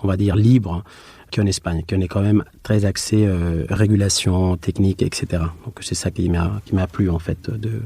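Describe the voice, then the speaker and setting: A man with a Spanish accent talks as a guest in an interview, feeling his way through phrases. His voice is very low and relaxed. It is recorded dry and close. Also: normal speed, gravelly, husky, no room sound. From Madrid, Spain. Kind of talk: unscripted interview answers.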